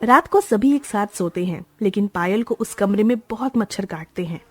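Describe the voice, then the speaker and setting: A woman narrating an animated moral story in a steady storytelling voice. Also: medium pitch, normal speed, husky. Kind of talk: scripted narration.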